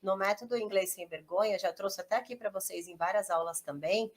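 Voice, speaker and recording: A woman's voice: medium pitch, fairly quick, a little hiss or hum. A woman with a Brazilian accent, an English teacher who explains step by step in an encouraging, casual way. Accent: Brazilian Portuguese.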